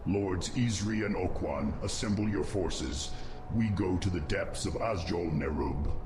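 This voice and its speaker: A man voicing a grave, loyal undead nerubian commander with a formal, deliberate delivery in a fantasy game cutscene. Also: low-pitched, background noise. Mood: commanding.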